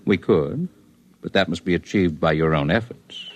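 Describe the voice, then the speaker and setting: An actor playing a calm, scholarly alien healer man in a 1950s radio drama, measured and precise in speech. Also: low-pitched.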